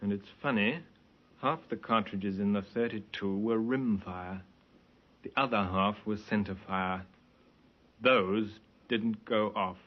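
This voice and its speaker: An actor playing a matter-of-fact police sergeant in a radio drama, clipped and factual. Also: male voice, fairly low pitch, fairly even intonation, takes it slowly. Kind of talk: 1950s radio drama acting. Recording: muffled 1950s radio recording.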